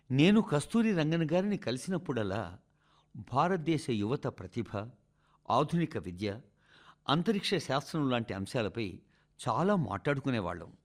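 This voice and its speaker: A man reading a formal scripted speech for radio in measured, emphatic oratorical phrases. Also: mid-range voice.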